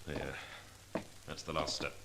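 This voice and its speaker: A man's voice: extremely low pitch, completely flat delivery, unhurried, background noise. An actor playing a bold, cool-headed male sleuth in a clipped, authoritative 1940s radio drama style. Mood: hushed, wary. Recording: vintage 1940s radio recording.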